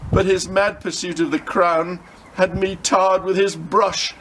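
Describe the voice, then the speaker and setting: A man's voice: high-pitched, flat delivery, a little slow, a bit bright, a little hiss or hum, pitched above the performer's own voice, poor audio. A man voicing a scornful young Tudor noblewoman in a storybook, with archaic, disdainful phrasing. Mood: indignant.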